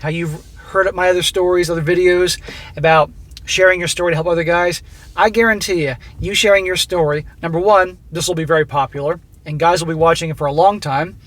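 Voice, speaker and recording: A man hosting a channel who reads a listener's story aloud and comments on it in a blunt, profane, coaching way, his voice going hoarse. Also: fairly high pitch, steady tone, fairly quick, a bit bright. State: losing his voice. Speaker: host reading listener stories.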